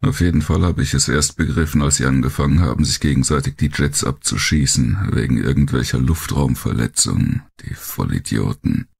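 A man voicing a blunt, cynical, world-weary male survivor of war in an audiobook, gravelly and coarse in his talk. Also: a bass voice, a little fast, hardly any pauses, full character voice. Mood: bitter, wry. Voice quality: gravelly, husky. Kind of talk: audiobook character monologue.

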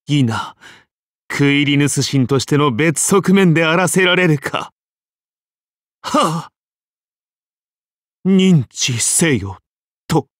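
A portly, pompous Roman emperor, speaks as an anime game character with flustered deference. His voice is rather high and very animated, full of formal, pleading honorifics. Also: slow, long pauses, dry recording, studio quality.

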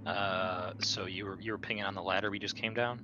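A man's voice: low voice, steady tone, a little fast, pushed and bright, slight background noise. A man taking part as a player in a tabletop roleplaying game, talking casually. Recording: dull sound, music behind.

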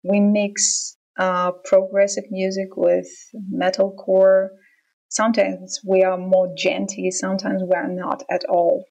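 Slow, gravelly Russian-accented woman. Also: mid-range voice, flat delivery, a little mellow, poor audio. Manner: measured, hesitant with frequent ums. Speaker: band member interviewed. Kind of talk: casual interview answers.

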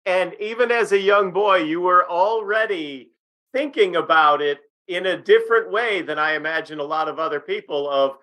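A man co-hosting a kids' science podcast, excitable and upbeat. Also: sits high, moderate pace, no room sound, rough recording. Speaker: podcast co-host.

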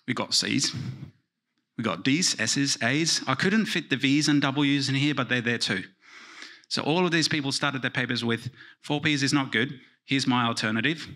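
A man in his thirties from Finland giving a joking conference keynote in a large lecture hall, speaking into a handheld microphone and dropping into quiet asides. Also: mid-range voice. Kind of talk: conference talk with jokes.

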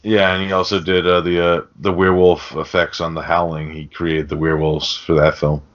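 A man's voice: low-pitched, flat delivery, fairly quick, fairly bright tone. A man co-hosting a casual film podcast, swearing freely as he enthusiastically explains things. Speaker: podcast co-host. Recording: dull, lo-fi podcast audio.

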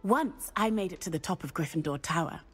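A woman's voice: fairly low pitch, animated, a little fast, fairly bright tone, slightly rough. A woman playing a chatty, thrill-seeking teenage witch with a playful, airy manner and a British accent in a video game. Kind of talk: video game character dialogue. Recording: music behind the voice.

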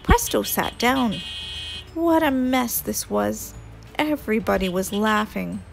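A woman reading a children's story aloud as an expressive storyteller. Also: medium pitch, slow, gravelly, soft background music, low-quality recording.

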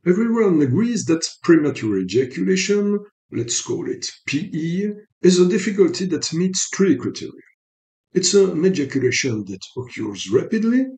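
French-accented man, lively gravelly lecture. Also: medium pitch. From Belgium. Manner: careful, measured explanation. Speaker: psychologist and sex therapist. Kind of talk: recorded lecture over slides.

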